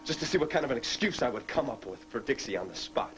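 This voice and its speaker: An actor playing a contemptuous former con man, now trying to go straight, in a soap-opera drama, with music clearly underneath. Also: mid-range voice, sing-song, fast talker, natural voice. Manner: contemptuous, clipped, defensive. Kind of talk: daytime soap drama acting.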